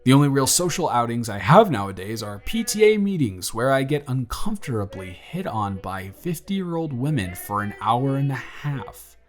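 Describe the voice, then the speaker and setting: A man playing an earnest young single dad in his twenties, talking softly and a little flustered in an audio roleplay. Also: mid-range voice, sing-song, normal speed, few pauses, natural voice. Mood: tender. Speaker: father confessing feelings to the babysitter.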